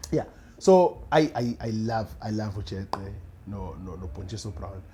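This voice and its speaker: A young man with a South African accent, a radio producer chatting casually as a podcast guest, animated and quick to laugh, often restarting his sentences. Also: lowish voice, a little hiss or hum. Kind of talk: casual interview chat.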